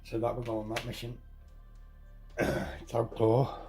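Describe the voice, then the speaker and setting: A hoarse man getting over a cold walks through a tabletop game setup, halting, correcting himself and clearing his throat. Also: mid-range voice, completely flat delivery, warm tone, soft background music. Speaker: tabletop game tutorial host. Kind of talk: casual step-by-step game setup walkthrough.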